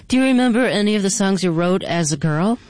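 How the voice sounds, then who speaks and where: Calm woman radio interviewer. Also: mid-range voice, quick pace, no room sound.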